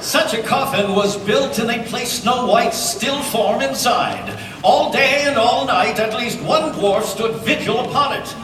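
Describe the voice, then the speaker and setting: A man's voice: extremely high pitch, measured pace, loud music behind the voice. A man voicing a theatrical comic storybook narrator before a live audience, recorded from far off in a big echoey hall. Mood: mock-dramatic. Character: storybook narrator, theatrically overblown, swooping and drawn out. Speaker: narrator in a panel cold read.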